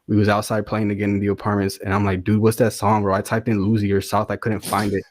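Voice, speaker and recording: Young man, low voice, very slangy. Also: monotone, fast talker, dry recording, studio quality. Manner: slangy, says bro and dude often. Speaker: podcast guest, rapper and music producer. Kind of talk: casual chat storytelling.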